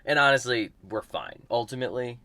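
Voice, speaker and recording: A man's voice: medium pitch, fairly quick, crowd noise. A man vlogging casually from inside a moving vehicle, rambling loosely and swearing offhandedly. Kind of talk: casual on-the-road chat to camera. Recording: loud road and engine noise. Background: car noise.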